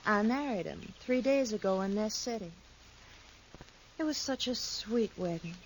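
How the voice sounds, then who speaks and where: Actress as a vain, scheming frontier widow, coy then scornful, old radio play. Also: female voice, medium pitch, animated, unhurried, slight background noise, natural voice, low-quality recording. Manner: coy and sweet, turning sharp and scornful. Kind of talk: 1950s radio drama acting.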